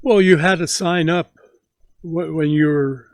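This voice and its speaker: A man in his nineties telling stories from his youth to his grandson, slow and halting, often repeating words as he begins a sentence. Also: a little high, fairly even intonation, no room sound. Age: 90s. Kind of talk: casual storytelling interview.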